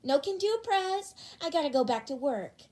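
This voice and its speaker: A high, loud, excitable teenage girl, played by a woman reading an audiobook. She gushes dramatically and playfully, pitched well up. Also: moderate pace. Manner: gushing, dramatic. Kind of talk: fan fiction audiobook character reading.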